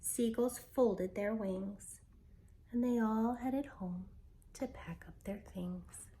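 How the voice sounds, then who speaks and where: A woman reading a children's picture book aloud with expressive, dramatic storytelling delivery. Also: a little high, slow pace, slight background noise, poor audio.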